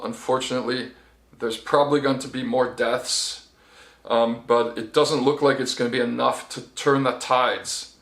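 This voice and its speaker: A man's voice: mid-range voice, gravelly, fairly bright tone. A middle-aged man, a journalist giving a casual spoken report, talking conversationally with many fillers and restarts. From United States. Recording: echoey room.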